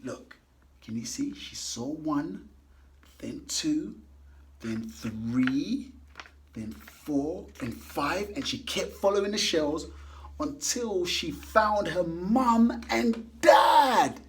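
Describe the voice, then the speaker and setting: A man reading a picture book aloud to children, animated and coaxing, stopping to ask the kids questions. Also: fairly high pitch, drawn-out words, a little hiss or hum. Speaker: children's story reader.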